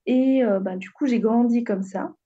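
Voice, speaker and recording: A woman in her thirties from Réunion recounts her story as a radio guest, pausing often with fillers. Her voice is mellow and slightly breathy. The sound comes through a muffled, lo-fi line. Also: a little high. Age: 30s. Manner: hesitant, frequent fillers, gentle storytelling. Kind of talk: interview, personal story.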